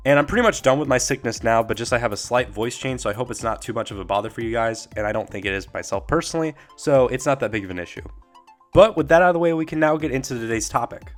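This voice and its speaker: Young male YouTube host, slightly hoarse after a cold, candid rambling commentary. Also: medium pitch, fast, faint music behind. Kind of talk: casual commentary vlog.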